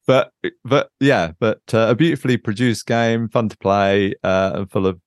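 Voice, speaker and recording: A man with a fairly low voice talks informally as a podcast host, recorded dry with very little room sound. He thinks aloud in a casual, unscripted way, often searching for the right word. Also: steady tone, moderate pace, clean tone, dry recording. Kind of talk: casual unscripted chat about board games.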